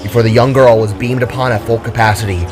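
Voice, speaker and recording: A man's voice: mid-range voice, fairly even intonation, quick pace, music in the background. A man narrating a scripted, dramatic story.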